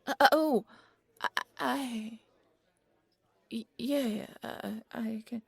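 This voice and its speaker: A timid, apologetic bunny girl, acted by a young woman, stumbles over her words in an audio roleplay. Her voice is soft and breathy, with very little creak. She sounds easily flustered, and the recording is dry and free of room sound. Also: fairly high pitch, steady tone, very slow, no echo, exaggerated voice. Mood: panicked, flustered. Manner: nervous, stammering, easily flustered. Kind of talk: audio roleplay character acting.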